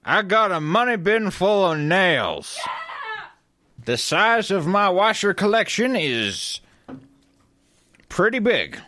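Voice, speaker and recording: A man's voice: sits high, very animated, slow, long pauses, bright, forceful tone, slight background noise, full character voice. A man playing a boastful, folksy cowboy tool owner in a comedy radio skit, with a drawling, blustering manner. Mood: defiant boasting.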